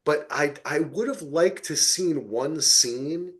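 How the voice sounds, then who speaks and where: A man's voice: a little high, takes it slowly. A man hosting a casual TV recap podcast, speaking emphatically, swearing freely and acting out people's reactions. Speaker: podcast host.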